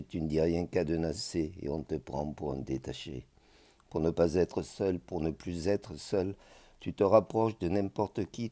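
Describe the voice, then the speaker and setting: A man with a very low, dark voice performs spoken-word poetry. His delivery is solemn and measured, each phrase placed deliberately, with a mellow tone throughout.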